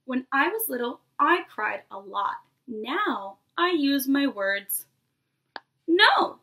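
A woman's voice: high voice, big pitch swings, takes it slowly, pushed and bright. A woman in her twenties, a preschool teacher, reading expressively to young children and addressing them directly. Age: 20s. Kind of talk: animated read-aloud to young children. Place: small bedroom, indoors.

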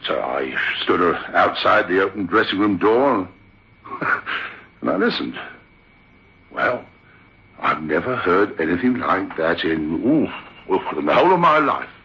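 An affable, sceptical theatre manager spinning a tale in an old radio drama. His lowish voice is bright and swoops expressively, with hesitant conversational asides, on a dull vintage recording. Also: sing-song, a little slow, pauses often, heavily acted. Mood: eerie, suspenseful. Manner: chatty, hesitant, incredulous. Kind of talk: old-time radio drama, conversational first-person storytelling.

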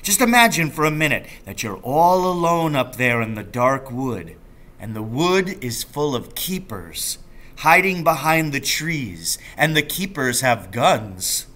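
Man reading as a lively, roguish storybook dad. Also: mid-range voice, measured pace. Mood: excited, relishing. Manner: relishing, emphatic storytelling. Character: enthusiastic, roguish father, a proud poacher telling tales.

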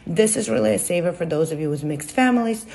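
A woman reads a scripted product demo in a steady, explanatory way. Her voice sits fairly low, with a husky edge and some gravelly fry. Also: fast.

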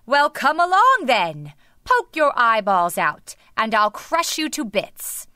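A woman's voice: fairly high pitch, very animated, slow pace, sharp tone, clean tone, no room sound, clean studio sound, exaggerated voice. A woman voicing a big, heavy, fearless billy goat, bold and defiant, roaring in a children's story.

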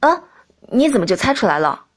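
A woman's voice: on the high side, very animated, fairly quick, slightly rough. A woman voicing a flustered young princess who lives in a prince's body, hesitant and protesting, in an audiobook. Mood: resigned. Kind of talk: audiobook character dialogue.

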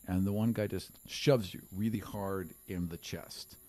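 Low-voiced man running a tabletop game. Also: fairly even intonation, a little slow, a little mellow, no echo. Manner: easygoing, lots of fillers. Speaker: game master. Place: studio set with a desk. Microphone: studio mic on a stand.

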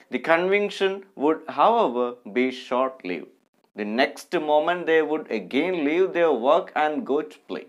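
A man in his thirties with an Indian accent speaks as an English teacher in an online class. His voice sits a little high, and he goes very slowly, articulating each phrase carefully in an instructive way. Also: fairly bright tone. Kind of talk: online lesson with text read aloud.

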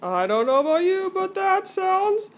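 A woman's voice: extremely high pitch, big pitch swings, unhurried, slight background noise. A woman hosting a home cooking video from off camera, with exaggerated, teasing mock-TV-host enthusiasm, recorded on a phone in a home kitchen. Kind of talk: playful mock TV-host banter. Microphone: phone camera from off screen.

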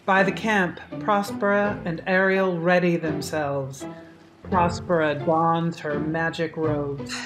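A woman narrates a radio play, reading scene directions from a script. Her voice sits fairly low, with clear music behind it. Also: steady tone, slow, rough recording. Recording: home recording with music.

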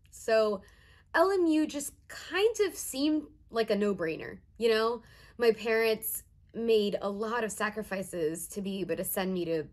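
A young woman playing an earnest first-generation college student in a conversational, emotive dramatized monologue with hesitant pauses. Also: medium pitch. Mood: fond, candid. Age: young adult.

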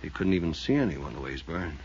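An actor playing a sardonic, needling small-town newspaper reporter in 1950s radio drama style. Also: male voice, very deep voice, big pitch swings, a little mellow, slight background noise. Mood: subdued. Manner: sardonic and needling, quick to jab. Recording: muffled old radio recording.

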